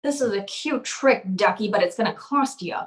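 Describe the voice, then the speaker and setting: A woman's voice: mid-range voice, animated, moderate pace, close to an everyday voice. A woman playing a cynical, wry private eye, curt and hardboiled, in an old-time radio noir drama. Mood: curt, needling.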